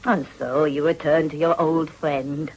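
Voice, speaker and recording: A woman's voice: low voice, fairly even intonation, a little slow, slight background noise, rough recording. An actress playing a worldly upper-class British society lady in a period radio drama, gracious, teasing and inquisitive. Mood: gracious, affectionate.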